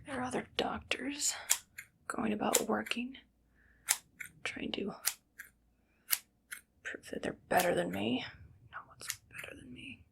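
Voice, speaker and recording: A woman playing an evil, vain, paranoid doctor in an ASMR roleplay, speaking in a soft, hushed whisper with a calmly menacing manner. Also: a little high, slow, long pauses, exaggerated voice. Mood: aggrieved, boastful. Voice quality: soft, whispery, with creaky fry.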